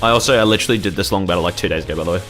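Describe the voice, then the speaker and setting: A man's voice: sits low, very fast, fairly bright tone, soft background music. A young man casually commentating his gameplay, rambling and swearing freely as he reacts to the game. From Australia. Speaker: gaming YouTuber.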